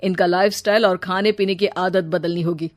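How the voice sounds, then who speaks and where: A woman's voice: fairly low pitch, fairly even intonation, moderate pace, lightly acted. Woman acting a firm, matter-of-fact doctor. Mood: firm, concerned. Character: brisk, stern doctor giving advice.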